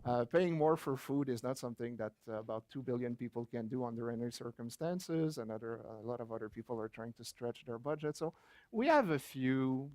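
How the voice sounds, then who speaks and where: A man's voice: mid-range voice, expressive, fairly quick, darkish tone, dry recording. A man with a French Canadian accent, a native French speaker from Quebec, giving a chatty, anecdotal book-launch talk with light chuckles and fillers. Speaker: author and guest speaker.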